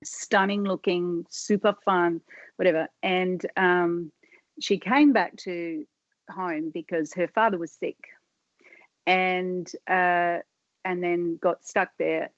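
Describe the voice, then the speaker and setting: A woman's voice: on the low side, no room sound, highs cut off. A middle-aged woman telling life stories as a podcast guest, rambling and often cutting herself off with fillers. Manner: rambling, self-interrupting, many fillers. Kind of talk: casual interview storytelling.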